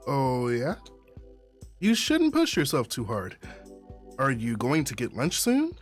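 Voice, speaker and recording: A woman's voice: extremely low pitch, wildly swooping pitch, slow, soft background music, heavily acted. A woman voicing a caring, easygoing coworker friend who is casual and insistent, in a visual novel. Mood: concerned.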